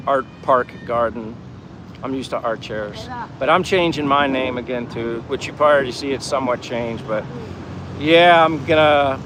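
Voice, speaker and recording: A man chatting casually and meandering through an informal livestream, reading out viewer comments. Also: medium pitch. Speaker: livestream vlogger. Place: outdoors in the Philippines, in daytime. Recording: noisy outdoor ambience with wind, vehicles. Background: wind, vehicle and outdoor ambience noise.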